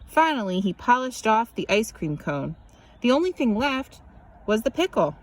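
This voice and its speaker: A woman reads a picture book aloud as scripted narration, animated and drawing out words for emphasis. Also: a little high, moderate pace. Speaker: children's story reader.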